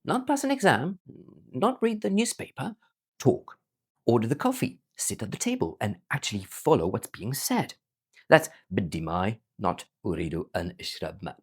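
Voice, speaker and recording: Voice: mid-range voice, very animated, fairly quick, slightly rough. A language teacher who learned Arabic as a foreign language, giving an emphatic scripted explainer. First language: not Arabic, learned it as a foreign language. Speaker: language teacher presenting their own learning platform.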